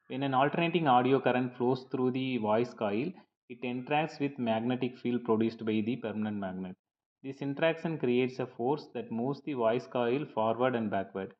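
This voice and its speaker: Man lecturing in a dull, lo-fi recording. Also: medium pitch. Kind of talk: scripted lecture narration over slides.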